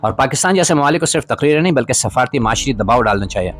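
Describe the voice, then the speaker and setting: A man's voice: medium pitch, lively intonation, racing, a bit bright. A man narrating scripted political commentary as a podcast host, in a formal, literary manner with rhetorical emphasis. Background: background music.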